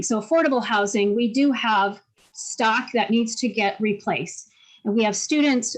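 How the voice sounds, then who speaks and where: A woman answering earnestly and personally as a mayoral candidate on a video call. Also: on the high side.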